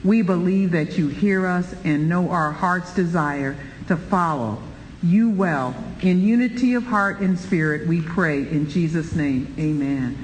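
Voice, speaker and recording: A man leading a church Bible study, preaching with animated, exclaiming energy. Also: a little high, unhurried. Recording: roomy reverb, light background noise.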